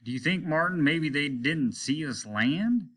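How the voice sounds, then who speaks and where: A man reading a story and voicing an irritable, weary, aging rocket captain who is commanding and scornful, snapping out indignant questions in a sharp voice. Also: fairly high pitch, animated, measured pace, voice pushed up. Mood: irritated. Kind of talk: audiobook character voice.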